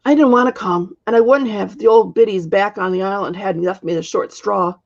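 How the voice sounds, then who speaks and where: A grumbling, very old storybook witch, as a woman reader puts her on, husky and creaky. She is blunt and spry, always complaining, heard through slightly muffled audio. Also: mid-range voice, fairly quick. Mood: exasperated. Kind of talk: storybook character reading.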